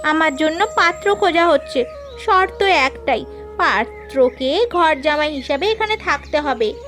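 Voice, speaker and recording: A woman playing a wistful, dutiful young unmarried daughter in an animated folk tale, plaintive and gentle in manner. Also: high voice, measured pace. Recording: music behind the voice.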